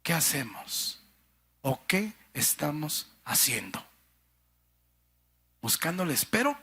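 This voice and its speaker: A man preaching a sermon with passion, calling his listeners brother and sister and swinging between fervent cries and hushed low phrases. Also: very animated, slow pace, breathy edge.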